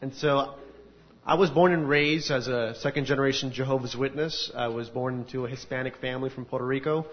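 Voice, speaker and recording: A man's voice: medium pitch, fairly even intonation, fairly quick. Earnest man telling his story, lo-fi. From United States. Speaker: speaker giving a testimony. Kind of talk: personal testimony at a faith gathering. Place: large room with an audience. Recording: dull lo-fi recording.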